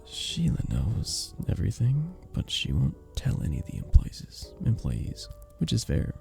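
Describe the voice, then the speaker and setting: A man voicing a charming, modest vampire bartender in a soft-spoken ASMR roleplay, with a gentle, polite and slightly formal manner. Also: lowish voice, wildly swooping pitch, measured pace, creaky, soft background music, exaggerated voice. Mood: gentle, confiding. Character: charming, sweet, modest young-looking male vampire bartender. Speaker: voice actor in an audio roleplay.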